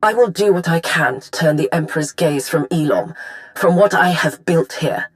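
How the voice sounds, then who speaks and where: A woman playing a solemn, secretive Sith lord in a video game monologue, speaking formally and gravely. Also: sits low, moderate pace, natural voice. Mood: grave, resolved.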